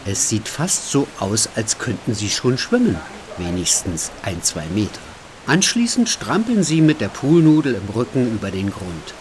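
A man narrating a radio documentary feature in a calm, measured way. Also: lowish voice, noisy background.

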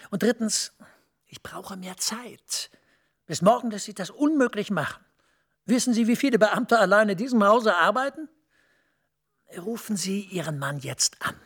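An actor playing a calculating male EU director general, measured and authoritative, dropping into hushed asides. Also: high-pitched, expressive, slightly rough, exaggerated voice. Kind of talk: German TV drama acting.

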